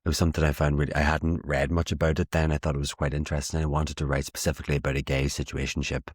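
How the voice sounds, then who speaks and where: Very deep, husky young Irish man. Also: no echo, clean studio sound. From Ireland. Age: late 20s. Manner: wry, self-deprecating. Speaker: interview guest, playwright. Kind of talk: casual conversational interview.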